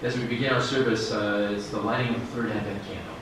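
A man's voice: lowish voice, steady tone, fast talker. An older male pastor welcomes his congregation in a plain-spoken way. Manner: warm, plain-spoken, with fillers. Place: small church sanctuary, at a pulpit. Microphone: stand mic at the pulpit, far camera. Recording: echoey church room from a distant camera, background noise.

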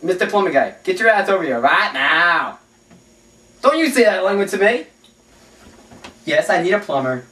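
A man's voice: high voice, big pitch swings, moderate pace, pauses often, pushed and bright, full character voice. A young man playing a bossy, grumpy father who scolds his kid and swears at the plumber, taped on a far-off camcorder in a house. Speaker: skit actor. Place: small house rooms, indoors. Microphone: far camcorder mic. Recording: echoey room, far-off camcorder.

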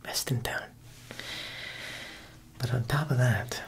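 A young man playing an Italian mob boss tailor in a whispered ASMR roleplay, with an Italian-American accent and a smooth, insinuating manner. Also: medium pitch. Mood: friendly, assuring. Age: young adult. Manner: slow, hushed, insinuating, with pauses.

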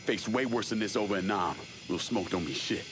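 An actor playing a tough young Vietnam veteran turned criminal, curt, commanding and unflappable. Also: male voice, fairly low pitch, expressive, brisk, background noise, natural voice. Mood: steely.

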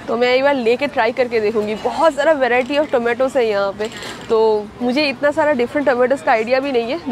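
A woman's voice: sits high, a little hiss or hum. A woman from India in her thirties chatting to viewers as she shops for vegetables at an outdoor farmers market, filmed on a handheld camera. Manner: chatty, talks to viewers while handling produce. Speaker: vlogger. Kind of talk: casual vlog chat while shopping. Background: market crowd chatter.

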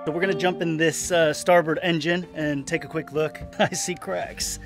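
Excitable high-voiced man vlogging. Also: moderate pace, music in the background. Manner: excitable, animated, jokey. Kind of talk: travel vlog narration. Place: car and boat, in and out of doors. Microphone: camera held at arm's length.

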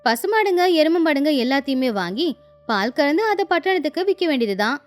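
A woman's voice: sits high, big pitch swings, brisk, soft background music. A woman acting a shrewd young village wife in a moral story cartoon, coaxing and advising with confidence. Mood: eager. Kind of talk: acted dialogue in a Tamil moral story cartoon.

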